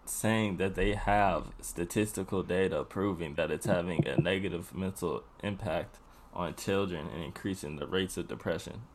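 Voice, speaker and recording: A young man in his twenties with a lowish voice, recorded with faint noise behind him. He talks informally and in slang, as a co-host among friends. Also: normal speed, low-quality recording. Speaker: podcast co-host. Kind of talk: casual group discussion. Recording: noticeable background noise.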